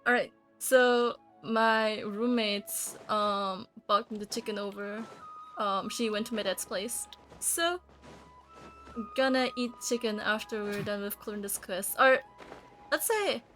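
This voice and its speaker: A woman's voice: on the high side, a little slow, a bit bright, soft background music. A woman game streamer talking casually to her live chat.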